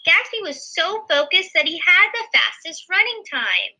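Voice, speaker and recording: Bright, animated woman reading a storybook. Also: very high voice, normal speed. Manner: expressive, explaining pictures simply. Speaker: teacher reading a picture book aloud. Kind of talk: animated children's read-aloud with asides.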